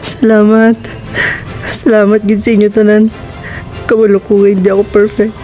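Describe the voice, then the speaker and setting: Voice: medium pitch, completely flat delivery, normal speed, dark tone, faint music behind, lightly acted, poor audio. A performer with a Filipino accent acting a grateful, humble young aspiring model in an amateur radio drama. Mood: grateful, moved.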